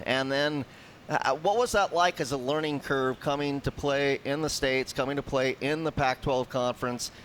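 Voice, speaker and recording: A man's voice: medium pitch, a bit bright, a little hiss or hum. A man hosting a live radio interview from a restaurant, upbeat and chatty with frequent restarts. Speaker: radio show host. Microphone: headset.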